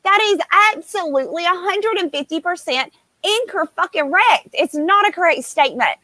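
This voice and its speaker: A woman's voice: extremely high pitch, animated, moderate pace, bright, forceful tone, no room sound. A woman co-hosting a podcast in casual banter, emphatic, sarcastic and free with swearing. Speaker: podcast co-host. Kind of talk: casual opinionated panel banter.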